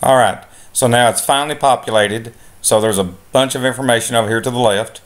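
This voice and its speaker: A man giving a step-by-step software lesson over a screen recording, relaxed, with many filler sounds. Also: mid-range voice, a little fast. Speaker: instructor.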